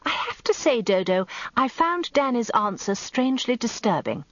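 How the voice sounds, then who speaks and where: An actress playing a prim middle-aged barrister's wife, narrating a radio story in a confiding, arch manner. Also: female voice, a little high, very animated, moderate pace, dry recording. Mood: confiding, flustered. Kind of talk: dramatised scripted storytelling. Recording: slightly dull, lo-fi radio recording, dry.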